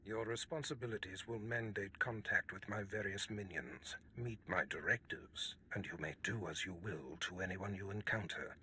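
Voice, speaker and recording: A man playing a commanding, scheming Sith lord in a video game, with formal, measured, imperious delivery. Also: on the low side, bright, forceful tone, slight background noise. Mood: cold, commanding. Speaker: voice actor in a game. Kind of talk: cinematic video game acting.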